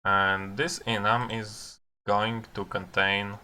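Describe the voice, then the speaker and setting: A man who is a non-native English speaker gives unscripted live coding commentary, thinking aloud haltingly with long pauses. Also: fairly low pitch, little pitch movement, bright, forceful tone, clear, resonant tone. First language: not English. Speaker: programmer live-streaming his coding.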